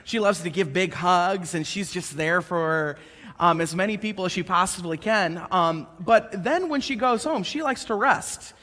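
A man in his thirties preaching a sermon on a church stage, conversational and animated as he tells personal stories. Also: high voice, normal speed. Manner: conversational and animated, gesturing while pacing the stage. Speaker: pastor. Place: church stage, large indoor room. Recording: reverberant church hall.

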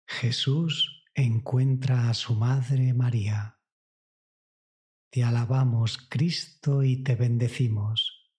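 A man reading a scripted devotional meditation in a solemn, measured, reverent way. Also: mid-range voice, darkish tone. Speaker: narrator of a guided prayer meditation. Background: music.

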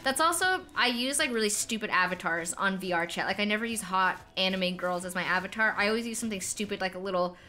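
A young woman streaming a game, with a very high-pitched, childlike voice, telling a rambling, self-deprecating story with laughs and swearing. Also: fast talker, hardly any pauses, bright, forceful tone, a little hiss or hum. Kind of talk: casual gaming stream chat.

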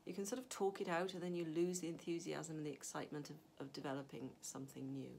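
A woman's voice: low-pitched, little pitch movement, fast, vocal fry, darkish tone, a little hiss or hum. A middle-aged woman novelist talking to camera from her home study, chatty and thinking aloud with fillers and restarts. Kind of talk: casual advice talk to camera. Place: home study with a map on the wall.

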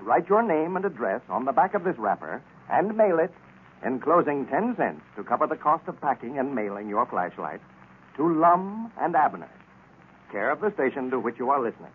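An American man announces in vintage 1930s radio style. His mid-pitched voice swings in a lively way through a scripted pitch. The recording is thin and tinny, like old broadcast audio. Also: normal speed, slight background noise.

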